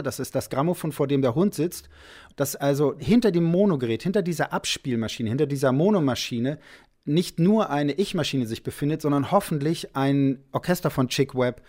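A man's voice: on the high side, a little mellow. A man musing in an interview, reflective, rephrasing with emphatic repetition.

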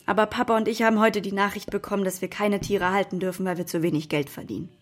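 An actress playing a gentle, regretful mother with a careful, hesitant manner in a German children's radio drama. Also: mid-range voice, steady tone, fast talker, lightly acted.

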